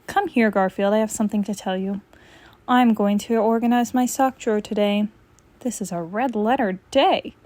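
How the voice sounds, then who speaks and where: A woman's voice: medium pitch, normal speed, darkish tone, no echo, natural voice. A woman voicing a dull, earnest, nerdy man who owns a cat, in a comic storybook read-aloud.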